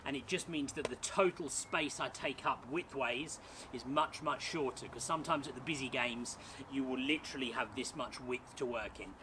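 A man's voice: medium pitch, normal speed. A man, chatting upbeat as he explains his gear step by step to camera in a small back garden. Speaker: sports photographer and vlogger. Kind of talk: casual gear walkthrough. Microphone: camera mic on a tripod, a few feet away. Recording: outdoor camera audio with noticeable background noise.

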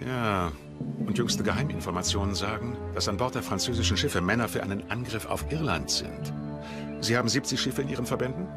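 An actor playing a wry, teasing English king in a German docudrama, with regal, deliberate delivery. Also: on the low side, loud music behind the voice, close to an everyday voice. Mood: businesslike. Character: male English king, jovial and teasing, commanding. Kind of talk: docudrama dialogue in German dub.

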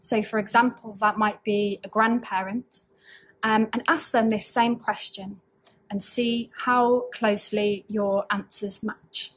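A young woman reading and talking through a story for children at an unhurried pace. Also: mid-range voice, level tone, rough recording. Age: young adult. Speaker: story reader. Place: small indoor room with bookshelves.

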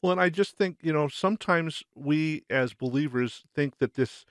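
A man's voice: a little high, moderate pace, dry recording, clean studio sound. A man hosting a podcast in a conversational interview style. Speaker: podcast host.

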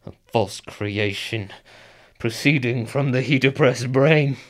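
An actor playing a brooding, conflicted nobleman with deliberate, theatrical verse delivery in a Shakespearean radio drama. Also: medium pitch, natural voice. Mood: haunted.

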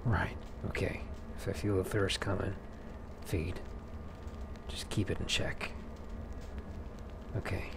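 Male gamer as shaken fledgling vampire, improvised game roleplay; slow, hesitant, nervous, with a lot of fry. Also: low voice, level tone, noisy background, exaggerated voice. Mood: hesitant acceptance. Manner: halting, muttering, nervous. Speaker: gaming video roleplayer.

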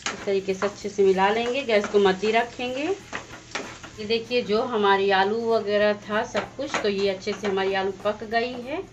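A woman's voice: mid-range voice, steady tone, normal speed, background noise. Woman cooking host, slightly muffled sound. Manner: plain, instructive, walks through each step. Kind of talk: step-by-step cooking demonstration. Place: home kitchen at the stove. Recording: slightly dull lo-fi sound with faint music.

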